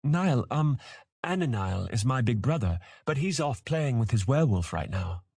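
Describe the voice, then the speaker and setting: A man's voice: mid-range voice, big pitch swings, moderate pace, gravelly, a little mellow, slightly rough, no echo, studio quality, voice pushed up, heavily acted. A man voicing a cheerful, naive young boy dragon hatchling in a fantasy audiobook, with a childlike, eager, chirpy manner.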